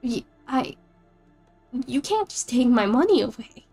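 A woman's voice: fairly high pitch, sing-song, measured pace, a little mellow, faint music behind, full character voice. A woman reading as a furious, swearing ex-employee. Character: angry broke former agency employee, woman.

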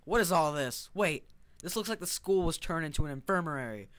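A man playing an excitable, easily annoyed young guy in an amateur comic drama, exclaiming indignantly. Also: a little high, a little fast, noisy background, lightly acted. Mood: alarmed, annoyed.

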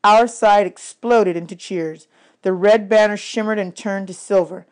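Female audiobook narrator, medium pitch, expressive read-aloud of children's adventure fiction. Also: a little slow.

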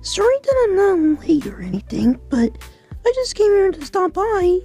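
A woman's voice: extremely high pitch, sing-song, normal speed, dark tone, soft background music, full character voice. A woman voicing a sweet, unsure young boy in an amateur cartoon, speaking hesitantly and politely. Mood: shy.

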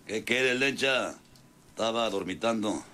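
An actor playing a bossy, scolding ranch patron in a radio serial. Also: male voice, lowish voice, measured pace, sharp tone. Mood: grumpy. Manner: scolding, rustic, commanding. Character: gruff, bossy ranch patron with a broken leg, scolding his men. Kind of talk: old radio serial acting. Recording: noisy old radio serial recording.

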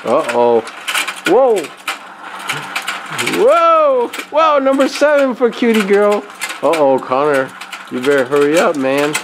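A woman's voice: medium pitch, very animated, noisy background. A woman in her thirties behind the camera at home, explaining a kids' game and cheering the children on with teasing, laughing play-by-play. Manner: upbeat, teasing, cheering kids on with quick laughs. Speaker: parent hosting a family toy channel. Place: home dining room, indoors. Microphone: camera mic, speaker off camera. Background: background music.

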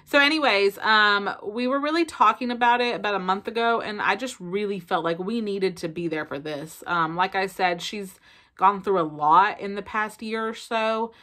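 A bright, clear-toned woman in her thirties, speaking with a Southern American accent. She talks to the camera in a chatty, expressive way, with many ums and likes. She is recorded close up in a bedroom at home, at a middle pitch. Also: normal speed. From South Carolina. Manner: chatty, expressive, lots of fillers. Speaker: family vlogger. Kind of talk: casual chat to camera. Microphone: camera in front at close range.

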